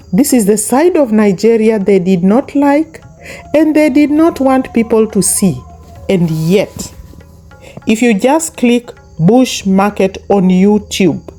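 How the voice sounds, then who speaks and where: A woman's voice: mid-range voice, dark tone. A woman commentating on a YouTube channel, talking straight to her viewers, stressing and repeating her points; English is not her first language. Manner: emphatic, repetitive, speaks straight to viewers. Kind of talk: reaction commentary monologue.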